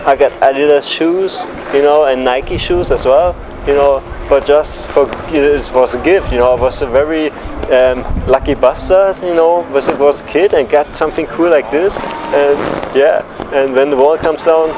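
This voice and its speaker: A German man in his forties speaks English haltingly, with a German accent and a slightly high voice, and keeps leaning on "you know". Wind buffets the microphone and background noise runs throughout. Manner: halting, leans heavily on "you know", laughs easily. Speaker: interview guest. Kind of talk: casual interview chat. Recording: noisy background with wind on the mic.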